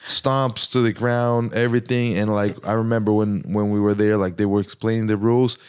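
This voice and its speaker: Smooth-voiced man, easygoing. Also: on the low side, flat delivery, brisk, a little mellow. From Brazil. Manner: loose and rambling, heavy use of "like". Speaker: guest fighter. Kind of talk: casual podcast chat.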